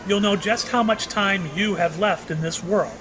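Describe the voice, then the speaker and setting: A man's voice: high-pitched, moderate pace, close to an everyday voice. A man acting in an audio play as a mysterious, courteous and apologetic shopkeeper who speaks hesitantly. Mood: cryptic, calm. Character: mysterious, apologetic male shopkeeper offering tastes of time. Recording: clearly noisy background.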